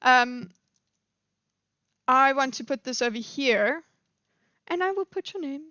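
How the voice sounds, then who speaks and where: A South African woman talks through her live stream commentary in a loose, rambling way, full of fillers and restarts. Her voice sits fairly high and sounds smooth and clear. The recording is dry and slightly dull, like a lo-fi home mic. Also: lively intonation, bright, forceful tone, no echo. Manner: rambling, many fillers and restarts, quick laughs.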